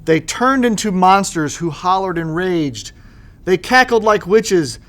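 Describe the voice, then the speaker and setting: Guy with a high voice reading a kids book, sing-song rhymes, expressive. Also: measured pace. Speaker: school superintendent reading to children. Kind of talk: children's storybook narration.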